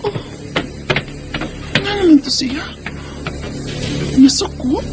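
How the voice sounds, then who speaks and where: A woman's voice: high-pitched, expressive, slow pace, music in the background. A woman with a Cebuano Bisaya accent playing a married mother, teasing yet guarded, in a radio drama with first-person narration. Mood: puzzled, worried.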